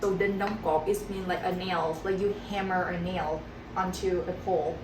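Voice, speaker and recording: A young woman hosting a casual home chat show, talking in a bubbly, excitable way. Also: on the low side, normal speed, background noise. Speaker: host. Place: home room. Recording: echoey room, mic far off, music behind.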